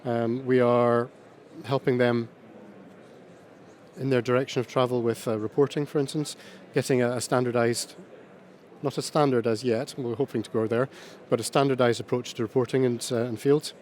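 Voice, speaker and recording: A man speaking at an awards panel, halting and full of ums and pauses. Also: mid-range voice, steady tone, a little mellow. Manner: halting, many ums and uhs, slow pauses. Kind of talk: hesitant conference talk.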